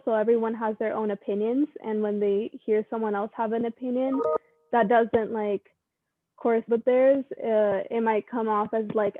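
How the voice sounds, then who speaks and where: A woman's voice: fairly high pitch, no room sound. A young woman from Mexico, speaking earnestly in a casual interview with lots of "um" and "like". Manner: earnest, many fillers like "um" and "like". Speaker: guest. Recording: dry tinny phone-like sound.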